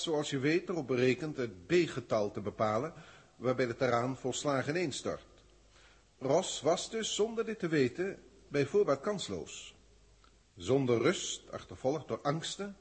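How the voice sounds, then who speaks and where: A cold alien overseer. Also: male voice, a little high, slightly rough, exaggerated voice. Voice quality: measured, formal, clinically detached. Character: cold alien overseer testing humans, middle-aged man. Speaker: senior proctor reporting to a galactic council. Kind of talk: 1960s radio drama acting. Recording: hissy early 1960s radio play.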